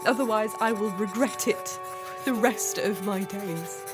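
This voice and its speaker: A woman playing a sheltered, melancholy young Victorian gentlewoman in a halting diary monologue for radio drama. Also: mid-range voice, over loud music, natural voice. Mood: pensive. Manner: wistful, halting.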